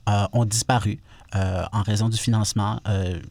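Low-voiced Québécois man chatting. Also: moderate pace, dry recording. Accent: Quebec French. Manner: relaxed, frequent "euh" fillers. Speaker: guest co-host. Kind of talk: casual radio chat.

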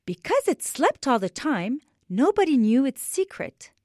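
A woman from Lebanon, speaking gently to children and reading them a storybook, slow and measured. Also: sits high, very animated, dry recording, clean studio sound.

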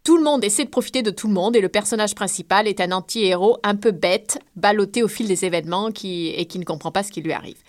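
A woman co-hosting a radio book show, presenting a classic crime novel in casual chat, lively and teasing with some fillers. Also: medium pitch, fast talker, few pauses.

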